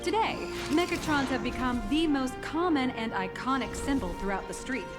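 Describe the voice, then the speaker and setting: An upbeat corporate guide woman in an anime game. The voice is high and polished, presenting proudly over prominent music. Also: animated, normal speed. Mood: proud, formal. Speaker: tour guide. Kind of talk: scripted anime game voice acting.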